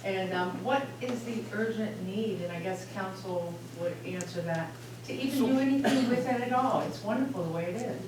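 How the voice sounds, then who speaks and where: A woman's voice: medium pitch, normal speed. Skeptical woman questioning from afar. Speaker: resident commenting. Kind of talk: public comment at a meeting. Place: public meeting room, indoors. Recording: echoey hall from far off, crowd around.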